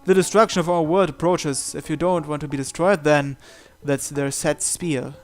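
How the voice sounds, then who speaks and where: A man with a fairly high voice reads a visual novel aloud. He moves between narration and acted dialogue, with music playing underneath. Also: fairly even intonation, moderate pace. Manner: acts out the protagonist's lines. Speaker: video host reading a visual novel aloud. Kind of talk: dramatized reading of narration and dialogue.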